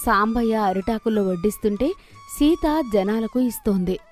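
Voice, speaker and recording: A woman's voice: mid-range voice, lively intonation, normal speed, a little mellow, soft background music. A woman storyteller narrating a scripted moral story.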